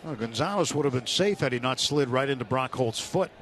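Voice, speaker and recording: A man's voice: mid-range voice, lively intonation, a little fast, fairly bright tone, slight background noise. A man calling a baseball game live on the radio as the play-by-play announcer. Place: stadium broadcast booth.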